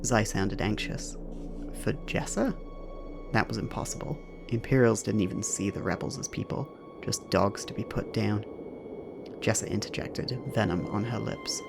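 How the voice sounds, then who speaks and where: A man's voice: fairly low pitch, normal speed, creaky, music in the background. A man narrating a scripted dramatic story as the narrator of an audio drama.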